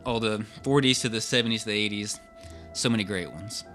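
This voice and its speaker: Bright-voiced man hosting a casual podcast. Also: fairly low pitch, brisk, soft background music. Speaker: podcast host. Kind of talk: casual group podcast chat.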